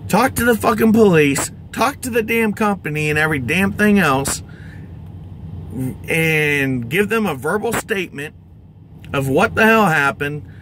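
A man's voice: a little high, bright, forceful tone, a little hiss or hum. A middle-aged American man, a truck driver, ranting to camera in an exasperated, emphatic, heavily profane way. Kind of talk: angry vlog rant. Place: inside a truck cab.

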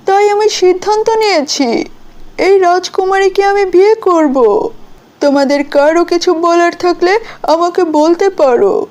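Woman playing a high-voiced, slow-speaking cartoon king. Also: darkish tone. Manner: regal, declarative. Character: commanding king of a fairy tale, courteous and decisive. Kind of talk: fairy-tale cartoon dialogue.

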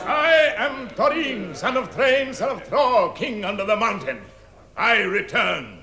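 A man's voice: high voice, very animated, takes it slowly, over music, heavily acted. Proud dwarf king, echoing hall. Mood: proud, defiant. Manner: declamatory, regal. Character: proud dwarf king returning to claim his realm. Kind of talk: radio drama acting.

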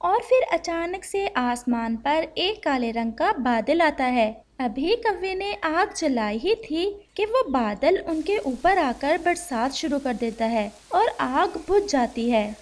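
A woman's voice: sits high, lively intonation, slow pace, few pauses. A woman narrating a scripted story for children.

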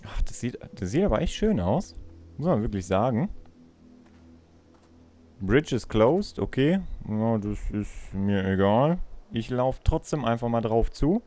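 A young adult man with an average-pitched voice. He comments on a video game casually, chatting away and thinking out loud like a let's player. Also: lively intonation, measured pace, soft background music.

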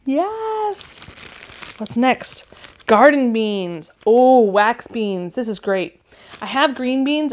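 A woman in her thirties chatting casually at home, gushing with excitement as she unboxes and reads out seed packets. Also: medium pitch. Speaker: gardening vlogger. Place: living room at home, indoors.

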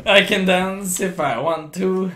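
Brief: young drunk Swedish man, giggly rambling gamer, high bright voice that swings a lot. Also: slow pace. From Sweden. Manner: rambling, giggly, slurring and restarting words. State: voice going hoarse. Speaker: livestream gamer. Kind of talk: casual drunk gameplay commentary.

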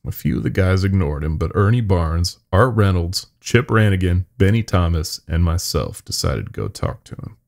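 A man tells a horror story in the first person, his voice very low and full of gravel. He sounds weary and folksy, and his delivery rises and falls dramatically. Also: lively intonation, normal speed, hardly any pauses, a little mellow.

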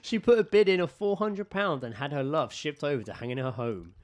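A man's voice: fairly high pitch, very animated, a little fast. A man with a British accent chatting casually as a podcast host, jokey and sweary as he reads out odd news stories.

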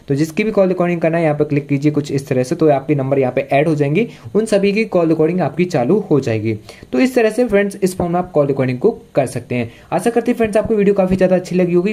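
A man's voice: sits high, warm tone. A man hosting a tech tutorial, explaining step by step in repetitive stock phrases.

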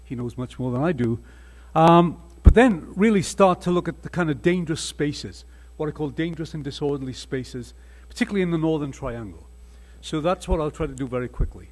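An older man with a Welsh accent lectures from a panel table into a desk mic. His voice is husky and sits a little high, and his delivery is earnest and emphatic, often restarting phrases. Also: fairly quick. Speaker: panel speaker. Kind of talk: conference panel talk with slides. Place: conference hall panel table. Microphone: table microphone.

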